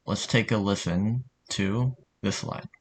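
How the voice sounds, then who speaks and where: Low-pitched man, casual tutorial narrator. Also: slow pace, slightly rough. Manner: informal, offhand, mildly sweary.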